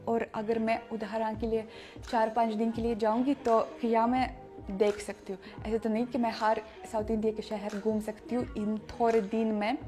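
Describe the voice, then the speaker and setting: A woman's voice: fairly high pitch, darkish tone. Russian-accented woman vlogging in careful Hindi. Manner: halting, searches for words, many restarts. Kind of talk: casual chat to camera.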